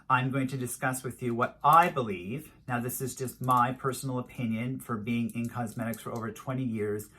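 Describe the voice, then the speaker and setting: Male beauty vlogger, middle-aged, medium-pitched, emphatic and repetitive in an informal advisory style. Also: pushed and bright. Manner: emphatic, repetitive, drawn-out stress on key words. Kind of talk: informal product review to camera.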